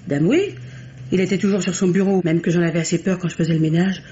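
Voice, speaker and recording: A woman's voice: fairly low pitch, steady tone, quick pace, warm tone, lightly acted. A nervous female household maid played by a radio actress speaks in a hesitant, deferential, colloquial way. It is old French mystery radio drama. The recording is dull and lightly noisy. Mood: frightened. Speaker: radio actor.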